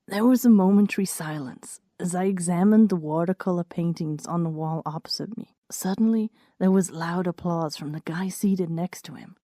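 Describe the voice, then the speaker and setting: A woman's voice: lowish voice, normal speed, hardly any pauses, mellow, dry recording, studio quality. A woman reading scripted first-person story narration in a wry, understated way.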